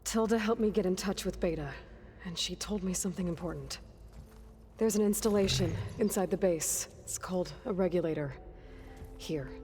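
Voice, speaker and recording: A woman's voice: lowish voice, normal speed, warm tone, in a large hall, soft background music, natural voice. A woman playing a determined young warrior leader in a game cutscene, earnest and commanding. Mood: serious, urgent. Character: determined young female warrior leading a rescue mission. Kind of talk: video game cutscene acting.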